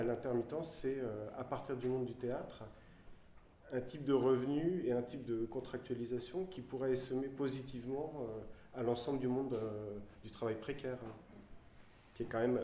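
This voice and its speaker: A man's voice: medium pitch, fairly even intonation, normal speed, dark tone, slight background noise. A man from France speaking in a public discussion, hesitant and searching for his words. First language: French. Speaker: panelist. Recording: roomy hall sound.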